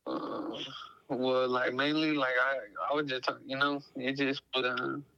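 A man's voice: mid-range voice, little pitch movement, measured pace, gravelly, bright, forceful tone, dry recording, muffled recording. A man in his late teens, a guest speaking hesitantly with many fillers like "like" and "you know".

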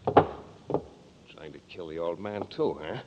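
A threatening gunman in a 1940s radio drama, gruff and sneering. His low voice swings widely. The sound is dull and lo-fi with a faint hiss. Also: animated, slow. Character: menacing gun-toting brother, Franklin.

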